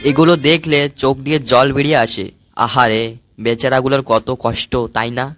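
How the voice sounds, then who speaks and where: A man's voice: mid-range voice, a little fast, fairly bright tone, no echo, faint music behind, natural voice. A man voicing a brash, foul-mouthed, complaining young village friend in a comic cartoon dub. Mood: mocking, brash. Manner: complaining, bantering.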